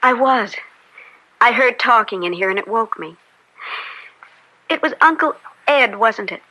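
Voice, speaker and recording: An actress playing a judge's young daughter in an old-time radio drama, earnest, tender and devoted. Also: female voice, on the high side, expressive, a little slow, sharp tone, full character voice, low-quality recording. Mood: gently insistent.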